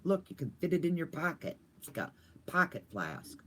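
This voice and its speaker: Older woman vlogger, chatty and goofy, pitch bouncing all over, casual livestream talk. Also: very animated, moderate pace, sharp tone.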